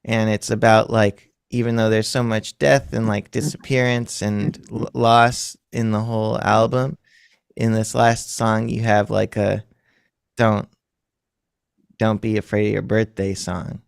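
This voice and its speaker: A man's voice: lowish voice, little pitch movement, unhurried, creaky, smooth, dry recording, clean studio sound. A man in his thirties, a musician chatting casually in a rambling, self-deprecating way and laughing often. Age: 30s. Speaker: musician guest. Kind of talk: casual chat.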